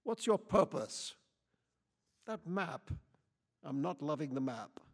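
A man's voice: a little high, lively intonation, unhurried, long reverb tail. A man reading aloud and voicing a hostile, accusing young American woman who confronts strangers at a campground, with drawling slang.

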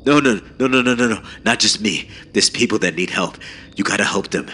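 A man's voice: fairly low pitch, fairly quick, sharp tone, slight background noise, voice pushed up. A man playing a terrified survivor in a horror story, frantic, urgent and stammering as he pleads. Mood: frantic pleading.

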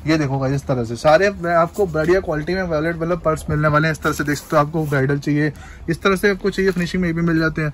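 A man hosting a market tour vlog, repeating prices in quick, repetitive patter inside a busy wholesale shop. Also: fairly high pitch, a little hiss or hum. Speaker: vlogger host.

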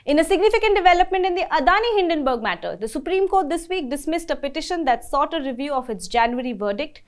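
A young woman in her twenties reading weekly legal news from a script in a measured, formal way, her voice falling at the end of sentences. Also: high voice, animated. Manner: measured, formal delivery, voice dropping at sentence ends. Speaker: news presenter. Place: dark studio.

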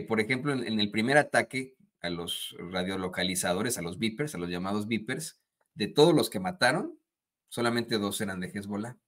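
A male journalist in his forties delivers news commentary in a low-pitched but very bright, forceful voice. He is emphatic and denouncing, repeating words for stress as he presents reports from a small indoor studio. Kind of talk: opinionated news commentary, partly reading a wire report.

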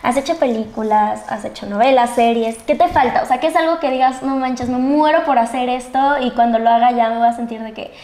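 A woman's voice: on the high side, fairly even intonation, a little fast, few pauses, darkish tone. A young Mexican woman hosting a casual interview with informal, slangy phrasing. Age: young adult. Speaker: interviewer, host. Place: indoor studio set at a desk. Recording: roomy sound, noticeable background noise.

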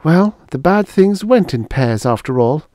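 A man voicing an anxious, quick-minded small-town woman. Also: a little high, expressive, warm tone, no room sound, clean studio sound, voice pushed up. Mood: relieved. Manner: urgent, raised voice.

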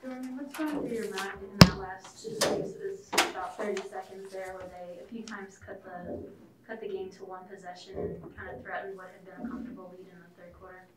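A woman's voice: medium pitch, steady tone, brisk, far from the mic, heavy background noise. A woman reporter asking long, rambling questions at a press conference. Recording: roomy, off-mic.

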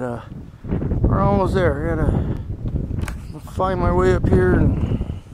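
Guy w high voice rambling casually outside, super loud wind hitting the camera mic. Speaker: vlogger filming a hike. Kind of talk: casual rambling chat while filming. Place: outdoors on a windy rocky desert hillside. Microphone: handheld camcorder built-in mic.

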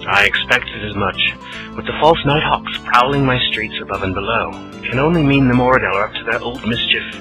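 A man's voice: mid-range voice, brisk, music in the background, close to an everyday voice, poor audio. A man playing an authoritative, dryly teasing prince in a fantasy audio drama. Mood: grave. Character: dry, authoritative prince, teasing but commanding.

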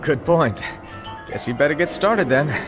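An actor playing a playful, mischievous master and mentor in a game cutscene, teasing and theatrical. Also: male voice, fairly high pitch, lively intonation, music in the background. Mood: breezy instructing. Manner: theatrical, teasing, drawn-out wordy delivery. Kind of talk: animated game cutscene dialogue.

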